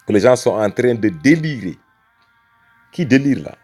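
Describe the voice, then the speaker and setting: A man's voice: medium pitch, very animated, moderate pace, a little mellow, husky, soft background music. A man from Senegal arguing a religious point with emphatic rhetoric, repeating phrases and calling the listener brother. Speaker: religious speaker. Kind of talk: impassioned religious argument.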